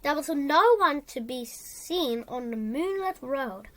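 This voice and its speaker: A woman reads a children's storybook aloud in a high voice with lively, swinging intonation. She takes her time and moves haltingly through the sentences. She often repeats or corrects a word. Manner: halting, repeats and corrects words while reading. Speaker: storybook reader.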